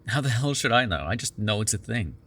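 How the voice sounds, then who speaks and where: A man's voice: lowish voice, expressive, fairly quick, fairly bright tone. A man playing a sardonic but caring captive vampire in an immersive ASMR roleplay, talking in a wry, teasing, profane conversational way. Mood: wry, musing. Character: sardonic, caring immortal male vampire held captive in cuffs.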